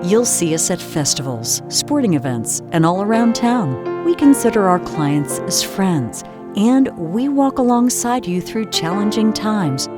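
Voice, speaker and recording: A woman's voice: medium pitch, sing-song, unhurried, music in the background. A woman reading scripted narration for an advertisement. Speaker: law firm advertisement narrator.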